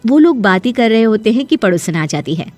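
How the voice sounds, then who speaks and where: Woman narrating a story, lively intonation. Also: a little high, fairly quick, dry recording, soft background music.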